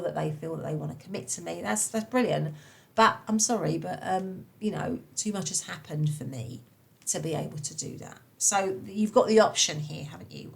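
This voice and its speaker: Croaky-voiced woman reading tarot cards. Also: lowish voice. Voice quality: hoarse, slightly nasal. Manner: chatty, reassuring, many fillers. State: croaky voice from a cold, blocked nose. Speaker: tarot reader. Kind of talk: pick-a-card tarot reading.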